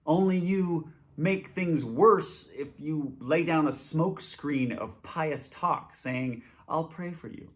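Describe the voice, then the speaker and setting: A man reading scripture aloud for a church in an expressive reading style. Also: on the high side.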